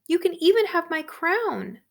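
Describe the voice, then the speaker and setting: A woman's voice: very high voice, sing-song, unhurried, creaky, voice pushed up, heavily acted. A woman voicing a young, spoiled storybook princess with childlike, emphatic protests. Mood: eager, upset. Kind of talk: children's storybook read-aloud.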